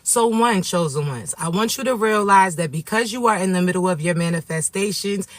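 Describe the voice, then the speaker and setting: High, raspy man giving a spiritual message. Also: brisk, no echo. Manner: warm affectionate address to viewers, repetitive "okay?" check-ins. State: sniffly from allergies. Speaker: spiritual reader and coach. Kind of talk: direct-to-viewer spiritual message.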